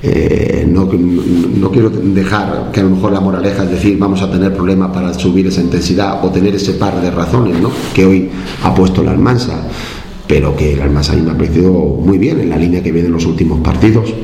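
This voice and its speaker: A man's voice: low voice, steady tone, brisk, creaky, mellow, reverberant, slight background noise. A man from La Mancha in Spain with a Spanish La Mancha accent, a football coach giving a post-match interview, hesitant and often repeating his words.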